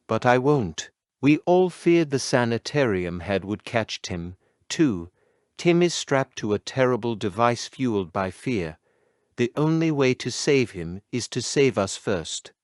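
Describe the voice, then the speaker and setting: A man's voice: mid-range voice, very animated, a little slow, no room sound, studio quality. Guy acting nervous earnest freed prisoner, takes his time, voice goes up n down a lot, horror audio drama. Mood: grave, urgent. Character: timid freed captive, fearful of insects, earnest and helpful. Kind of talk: dramatic character monologue.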